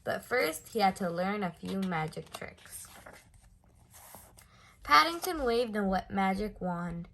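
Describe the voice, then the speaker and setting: Animated, bright-voiced woman reading a children's story. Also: medium pitch, slow pace, vocal fry, long pauses, a little hiss or hum. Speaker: storybook reader. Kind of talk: children's story read aloud.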